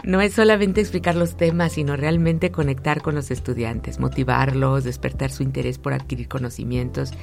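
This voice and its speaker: Deep-voiced middle-aged Mexican woman. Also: steady tone, moderate pace, gravelly, few pauses, darkish tone, faint music behind. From Aguascalientes, Mexico. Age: 50s. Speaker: university lecturer, interview guest. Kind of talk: conversational interview answers.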